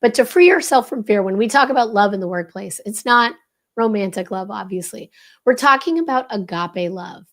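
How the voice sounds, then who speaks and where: A woman's voice: medium pitch, lively intonation, moderate pace. A woman with a slightly crackly, strained voice explains leadership ideas as an expert guest, speaking emphatically and instructively with rhetorical questions. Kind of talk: explanatory interview talk.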